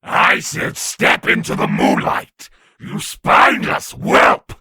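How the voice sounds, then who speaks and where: A man voicing a fierce, impatient warrior in a comic dub, hoarse and menacing, drawing out shouted challenges. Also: extremely low pitch, wildly swooping pitch, slow pace, dry recording, clean studio sound, full character voice. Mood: angry, menacing. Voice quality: harsh, hoarse rasp.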